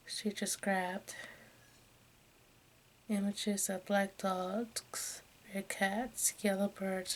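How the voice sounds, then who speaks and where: Lady doing asmr reading, breathy hushed whisper, pretty flat and slow, stumbles a bit. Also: mid-range voice. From United States. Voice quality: soft, breathy whisper mixed with hushed speech. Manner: halting, stumbles over names and words. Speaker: ASMR video creator. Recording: faint background noise.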